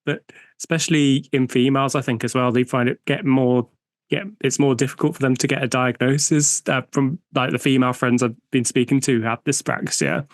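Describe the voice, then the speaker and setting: A British man hosting an interview podcast, friendly and a little halting when he speaks off the cuff. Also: mid-range voice, steady tone, no room sound. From United Kingdom. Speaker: podcast host.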